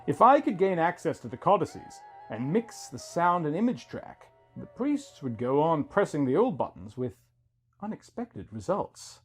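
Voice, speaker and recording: Higher-pitched man in lively dramatic narration. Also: normal speed, breathy edge, soft background music. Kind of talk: dramatic scripted first-person narration.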